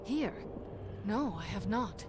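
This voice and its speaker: A woman's voice: medium pitch, animated, slow pace, very noisy. A woman playing a resolute, troubled human mage leader in a fantasy video game, earnest and commanding. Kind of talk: video game drama acting. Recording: loud music behind.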